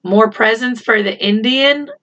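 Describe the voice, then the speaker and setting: A woman's voice: on the high side, a little slow, a bit bright. A sarcastic young schoolboy who doubts everything, put on by a woman reading a storybook. He sounds challenging and takes his time over words. The recording is a touch dull. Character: skeptical, sarcastic schoolboy, the friend. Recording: slightly dull, lo-fi home recording.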